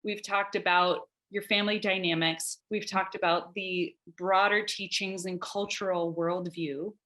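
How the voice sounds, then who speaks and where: A woman's voice: mid-range voice, fairly even intonation, a little slow, bright, forceful tone. Thoughtful woman guest laying out points. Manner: thoughtful, structured, lays out points in order. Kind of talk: casual conversational interview.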